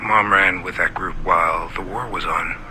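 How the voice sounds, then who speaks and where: A man's voice: sits low, fairly even intonation, normal speed, bright, forceful tone, a little hiss or hum. A man playing a resigned, reserved father in naturalistic audio drama, laconic and dry. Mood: puzzled.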